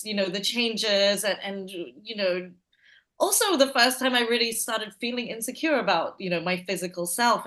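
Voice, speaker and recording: A woman from Malaysia, a film director being interviewed, talking in an animated way with many restarts and laughs in her voice. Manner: animated, laughing, hesitant restarts, lively pitch jumps. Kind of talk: interview answers.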